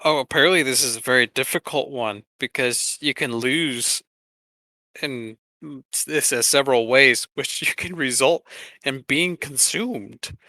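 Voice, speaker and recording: A man co-hosting a podcast in casual chat, talking haltingly with trailing asides. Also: medium pitch, sharp tone, no echo. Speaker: podcast co-host.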